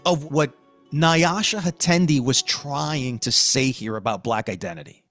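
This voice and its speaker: A man's voice: medium pitch, unhurried, fairly bright tone, faint music behind. A middle-aged man from Queens, New York, hosting a casual podcast discussion with emphatic, slangy talk. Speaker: podcast host.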